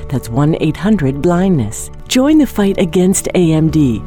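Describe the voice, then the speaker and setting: A woman reads a scripted health announcement as a doctor. Her voice is fairly low and soft-edged, warm and mellow, with faint music behind it. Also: big pitch swings, unhurried. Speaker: doctor in a public service announcement.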